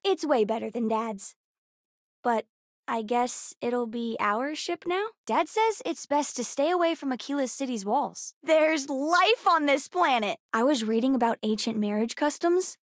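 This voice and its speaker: A woman voicing a bright, bookish, chatty young girl with an American accent, as a video game character. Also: high voice, a little slow, no room sound, clean studio sound. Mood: excited, urgent. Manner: chatty, expressive, youthful.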